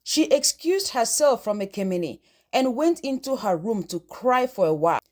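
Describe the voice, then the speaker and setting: A woman reads a folktale as scripted narration. Her voice sits high, and her pitch moves in lively sweeps as she tells the story. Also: normal speed.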